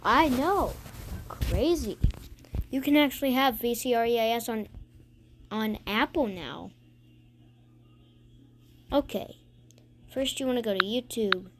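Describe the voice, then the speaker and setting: A woman hosting a casual tutorial, talking through the steps in an informal, improvised way. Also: on the high side. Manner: informal, improvised step-by-step instruction. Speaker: tutorial host. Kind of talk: casual screen tutorial narration. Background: background music.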